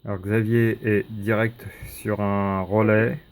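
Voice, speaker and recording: A man's voice: on the low side, flat delivery, takes it slowly. A man giving short asides while demonstrating a radio scanner. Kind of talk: casual commentary to camera.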